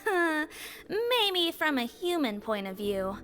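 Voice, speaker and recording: A polite young nonhuman officer from a video game. The voice is high and explains carefully and precisely, with light music behind. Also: female voice, sing-song, slow, heavily acted. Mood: informative.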